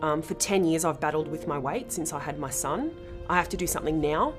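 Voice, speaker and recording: A woman's voice: low-pitched, animated, brisk. An Australian woman giving a candid, plain-spoken personal testimonial. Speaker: fitness challenge participant. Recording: clear background music.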